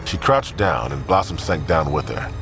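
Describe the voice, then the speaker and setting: A man's voice: very deep voice, lively intonation, normal speed, over music. A man reading scripted narration for an audio drama.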